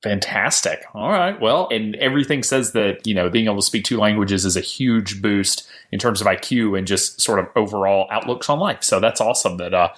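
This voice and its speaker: A man hosting a podcast interview in casual chat, friendly and quick to laugh, often interjecting. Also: lowish voice. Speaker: podcast host.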